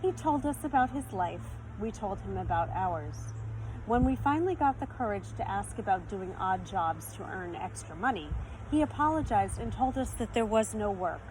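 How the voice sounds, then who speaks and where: A woman's voice: medium pitch, lively intonation, normal speed. A woman storyteller reading a children's picture book expressively, in a teacherly manner. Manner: teacherly, explains words and asks listeners questions. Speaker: read-aloud storyteller. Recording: faint background noise.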